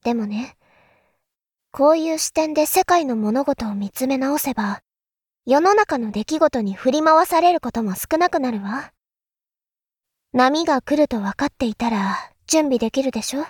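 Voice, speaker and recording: A woman playing a calm, enigmatic elder sister in an audio drama, speaking in a deliberate, philosophical, teacherly way. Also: sits high, breathy edge, dry recording, studio quality. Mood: gentle, knowing. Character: calm, enigmatic elder sister musing darkly about world affairs. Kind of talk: Japanese audio drama acting.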